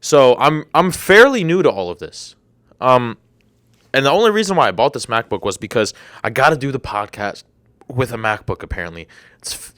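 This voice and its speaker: A man's voice: fairly low pitch, fairly bright tone, dry recording. A young man in his twenties hosting a solo podcast, rambling and incredulous, with a slightly congested throat. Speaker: podcast host. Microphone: desk microphone.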